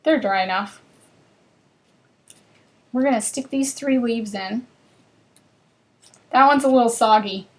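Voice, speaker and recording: A woman with a northern US accent hosts a live card-making class. She talks in a chatty, bubbly way and chats with viewers while she works. Her voice sits a little higher than most. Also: slow pace, pauses often. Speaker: craft class host. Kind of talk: live crafting demonstration.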